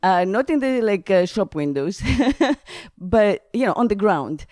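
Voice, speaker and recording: A woman's voice: mid-range voice, unhurried, dry recording, clean studio sound. Laughing woman host. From Greece. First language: Greek. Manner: laughs often, chatty and expressive. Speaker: podcast host. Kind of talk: casual conversation.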